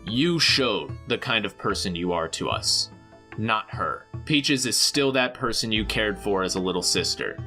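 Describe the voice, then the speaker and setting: A man's voice: lowish voice, expressive, unhurried, sharp tone. A man giving a scripted video statement in an earnest, reproachful way. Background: guitar music.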